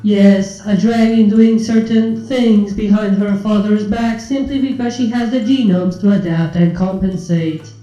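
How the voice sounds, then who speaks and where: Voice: medium pitch, steady tone, unhurried, few pauses, dark tone, echoey room, dull audio, faint music behind, natural voice. A performer voicing a cold, condescending ship artificial intelligence in a fan fiction reading, speaking in formal, clinical, precise terms. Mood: dry.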